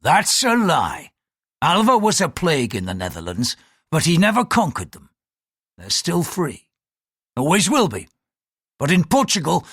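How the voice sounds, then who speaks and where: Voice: medium pitch, a bit bright, slightly rough, dry recording, clean studio sound, pitched above the performer's own voice, exaggerated voice. An audiobook reader voicing a bold, taunting ship's pilot who talks bluntly and defiantly.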